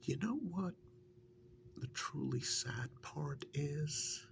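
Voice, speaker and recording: A man softly playing an embittered yet devoted former captive in an ASMR role-play, half-whispering in a rambling, confiding way. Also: animated, extremely slow, full character voice. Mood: bitter, aggrieved. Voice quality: soft, breathy, often half-whispered. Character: embittered former kidnap victim, now devoted male partner. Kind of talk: soft-spoken ASMR role-play monologue. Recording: faint low rumbling background noise.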